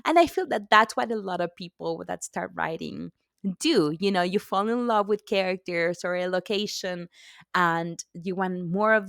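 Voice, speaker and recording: A woman's voice: mid-range voice, creaky, a bit bright, no echo, studio quality. A middle-aged woman from Argentina, a native Spanish speaker, chatting as an author guest in a podcast interview, laughing often and restarting her sentences.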